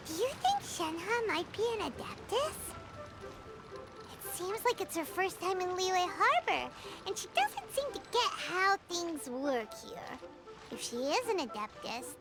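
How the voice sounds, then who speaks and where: A woman voicing a tiny, childlike, excitable fairy companion in an anime-style video game, with exclamatory speech. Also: heavily acted. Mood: curious. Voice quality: squeaky, childlike. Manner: refers to herself in third person, exclamatory.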